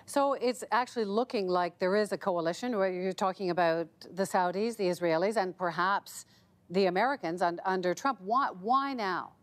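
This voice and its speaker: A woman's voice: mid-range voice, moderate pace. Woman presenting broadcast news. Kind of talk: scripted broadcast news narration and interview.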